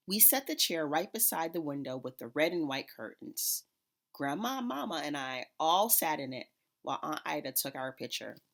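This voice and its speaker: Low-pitched female narrator, expressive read-aloud of a picture book for young children. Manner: expressive, acts out characters' dialogue. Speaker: school principal reading a picture book aloud.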